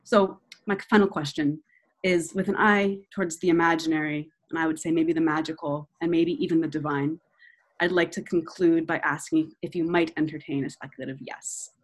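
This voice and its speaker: A young woman with a low-pitched voice leads an academic interview. She reads quotations aloud and frames her questions in a measured, reflective way. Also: steady tone, poor audio.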